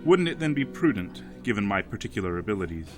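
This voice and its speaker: Man playing a deep, courteous vampire count Dracula. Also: big pitch swings, music in the background. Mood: smooth, persuasive. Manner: formal, courteous, measured phrasing. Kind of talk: audio drama acting.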